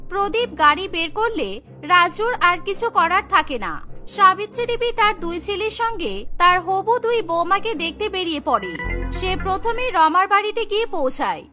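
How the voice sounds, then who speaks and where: A woman's voice: very high voice, expressive, normal speed, pushed and bright, soft background music. A woman narrating a scripted cartoon story as a storyteller.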